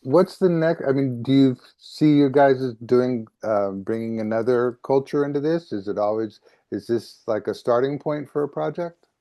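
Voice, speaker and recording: A man's voice: medium pitch, moderate pace, dark tone, no echo. A man hosting a casual interview, speaking in a hesitant, rambling way.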